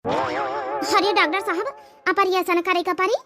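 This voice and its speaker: A woman's voice: very high voice, fairly even intonation, takes it slowly, music in the background. A woman with an Awadhi accent playing a worried, devoted elderly village mother-in-law in a cartoon comedy. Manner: fretful, pleading.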